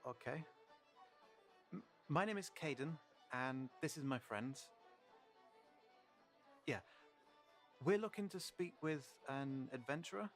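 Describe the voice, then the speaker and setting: A man playing a polite, awkward young human in an audio roleplay, halting and apologetic. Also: on the high side, expressive. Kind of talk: audio roleplay drama acting. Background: music.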